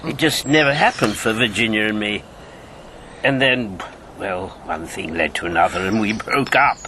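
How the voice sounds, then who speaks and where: A man's voice: on the low side, moderate pace, sharp tone. An actor playing a talkative, needling British ex-agent in his fifties in a radio drama, rambling and sardonic. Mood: reflective, rueful. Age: 50s. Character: talkative, needling fifty-year-old male ex-wartime agent. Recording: clear background noise with birdsong.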